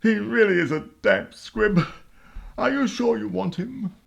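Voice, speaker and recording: Creaky, dry, melodramatic soap-opera mother played by a woman. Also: mid-range voice, a little slow. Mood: dry, dramatic. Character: exasperated, confessing mother of grown children. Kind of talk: comic radio soap acting.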